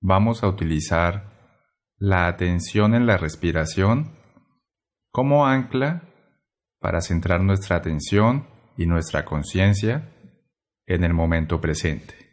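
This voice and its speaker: A man guiding a meditation in a gentle, measured way, pausing between short phrases. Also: low voice, animated. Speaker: meditation guide. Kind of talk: guided meditation narration.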